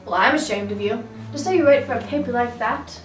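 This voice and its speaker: High-voiced student girl in an echoey room. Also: a little fast. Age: young adult. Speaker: student actor. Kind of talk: amateur student drama. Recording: echoey room from far off, music behind.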